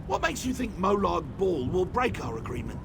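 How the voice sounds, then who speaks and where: An actor playing an ancient, stately male Wood Elf elder in a video game, speaking in a formal, measured, lofty manner. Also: high-pitched, very animated, vocal fry, noisy background, heavily acted. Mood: thoughtful. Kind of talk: video game quest dialogue.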